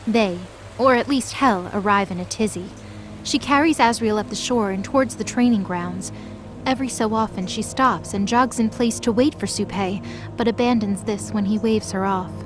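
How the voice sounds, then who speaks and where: A woman's voice: mid-range voice, normal speed, over music. A woman giving scripted, dramatic narration as the storyteller of an audio drama.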